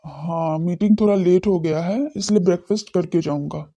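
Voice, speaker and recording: महिला की आवाज़ में दबा, भारी-सा चिंतित युवक. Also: female voice, on the low side, normal speed, warm tone, lo-fi sound, pitched below the performer's own voice. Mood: casual. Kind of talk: audio drama character dialogue.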